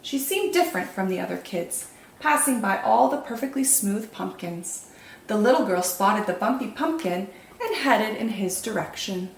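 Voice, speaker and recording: Female children's storytime reader, mid pitch, animated and clearly phrased, echoey room with faint noise. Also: normal speed. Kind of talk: expressive picture-book narration.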